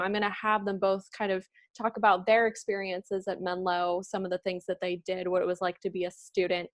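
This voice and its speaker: Upbeat woman hosting a podcast. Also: mid-range voice. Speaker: podcast host, career services assistant director. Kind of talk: podcast interview.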